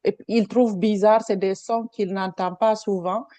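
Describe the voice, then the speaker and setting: A woman's voice: mid-range voice, steady tone, normal speed, mellow, dry recording. A mother whose first language is neither French nor English, sharing her experience in an online workshop in a reflective, hesitant way. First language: a language other than French or English. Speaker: parent participant. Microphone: video call.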